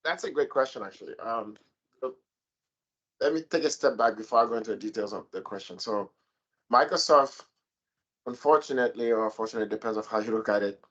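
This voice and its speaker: A man's voice: lowish voice, fairly quick, long pauses, fairly bright tone, dull audio. A man who works as a program manager, giving a structured, step-by-step explanation on a panel over a video call.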